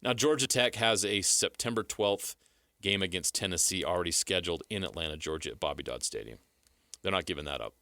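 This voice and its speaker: A man's voice: low-pitched, fairly quick, sharp tone, dry recording, studio quality. A man with an American accent co-hosting sports talk, explaining his analysis emphatically in a casual style. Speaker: sports radio co-host.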